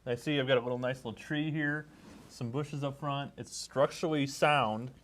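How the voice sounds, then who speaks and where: A man's voice: medium pitch, fairly even intonation, normal speed. An American man co-hosting a podcast and interviewing in a casual, conversational way.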